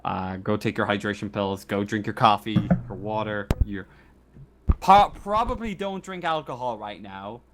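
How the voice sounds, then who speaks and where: Low-voiced man running a tabletop game. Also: lively intonation, moderate pace. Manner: informal, conversational explaining of rules. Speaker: game master. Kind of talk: casual tabletop game narration and rulings. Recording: faint background noise.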